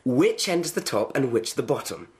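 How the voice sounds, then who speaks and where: A performer as an eager, boastful emperor in a radio drama. The voice swoops widely up and down, excitable and pompous. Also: medium pitch, moderate pace, close to an everyday voice. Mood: smug.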